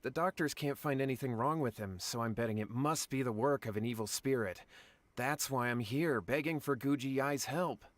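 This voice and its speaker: A man's voice: mid-range voice, normal speed, natural voice. An actor playing an anxious, deferential young man in a video game, speaking in an earnest, polite and imploring way. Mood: worried. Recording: background music under the voice.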